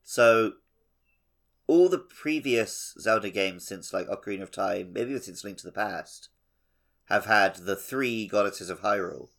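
Male podcast co-host with a fairly low voice, informal and explanatory game chat. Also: unhurried, creaky, a bit bright. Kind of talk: casual video game discussion.

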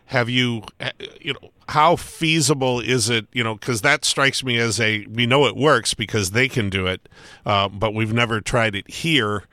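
A man hosting a radio talk show, asking a long, halting question full of ums and restarts. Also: mid-range voice, pushed and bright, no room sound. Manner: halting, rambling question with many fillers.

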